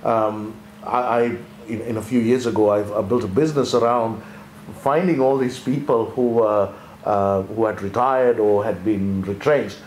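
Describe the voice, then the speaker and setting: A man's voice: lowish voice, steady tone, normal speed, darkish tone, roomy sound, a little hiss or hum, rough recording. A man from Sri Lanka, a former accountant, talking through business ideas in a thoughtful way and often restarting his sentences. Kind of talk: conversational leadership discussion.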